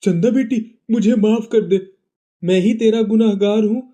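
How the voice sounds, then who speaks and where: A man's voice: high-pitched, measured pace, mellow. A man voicing a guilt-ridden, weak-willed middle-aged village father in a Hindi moral-story cartoon, apologetic and placating. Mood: remorseful.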